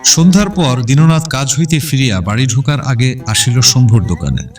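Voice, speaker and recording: A man's voice: mid-range voice, animated, moderate pace, far from the mic. A man reading literary narration in a dramatic, scripted audio-story style. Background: background instrumental music.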